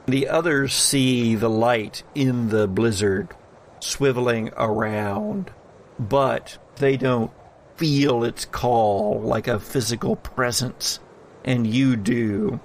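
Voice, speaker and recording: A male game master narrates a tabletop horror game at a slow, measured pace. His voice has a marked creak and he leaves deliberate, dramatic pauses. There is faint noise behind him. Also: mid-range voice.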